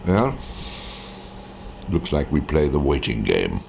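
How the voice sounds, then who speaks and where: An actor playing a senior wartime intelligence chief, authoritative and deliberate, leading a briefing in a video game. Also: male voice, a bass voice, wildly swooping pitch, vocal fry, darkish tone, slight background noise, heavily acted. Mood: grave, measured.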